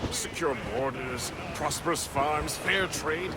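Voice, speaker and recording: An actor playing a jovial, idealistic first mate in a video game, with a genteel, hearty, theatrical delivery. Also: male voice, a little high, slow, very noisy. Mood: earnest. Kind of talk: dramatic video game dialogue. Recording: background music under the voice.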